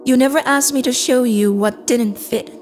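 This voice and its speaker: Woman as a calm, impassive AI storyteller. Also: high voice, moderate pace, lightly acted. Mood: detached, explanatory. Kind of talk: scripted first-person audio drama narration. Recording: cinematic music behind. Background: cinematic score.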